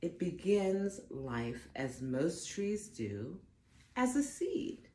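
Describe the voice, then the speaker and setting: Woman, children's storybook read-aloud. Low, mellow voice, expressive delivery, roomy sound. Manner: slow, expressive, deliberate phrasing for young listeners. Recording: roomy echo, faint background noise.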